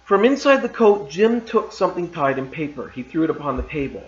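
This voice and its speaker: Man, teacher, reading aloud, measured, roomy, muffled. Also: on the high side. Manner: calm and measured, loose and chatty after reading. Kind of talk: read-aloud story narration. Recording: roomy, slightly dull recording.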